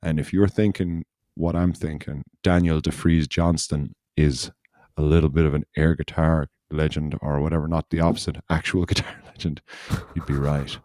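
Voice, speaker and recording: Low-voiced man rambling on a podcast. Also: level tone, normal speed, darkish tone, dry recording, clean studio sound. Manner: rambling, loose storytelling that circles back on itself. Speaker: podcast host. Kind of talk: casual podcast chat.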